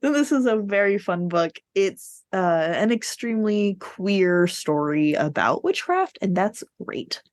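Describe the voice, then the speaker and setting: A woman's voice: medium pitch, very animated, a little slow, few pauses, no room sound. A woman podcast host in a casual book discussion, speaking in a blunt, informal, sweary way.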